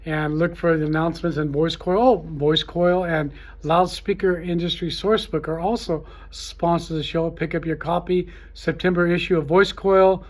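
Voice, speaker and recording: A man hosting a trade show gives an informal, unscripted promotional talk, speaking in a halting, repetitive, salesy way. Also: fairly high pitch, fairly even intonation. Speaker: trade show host promoting his event.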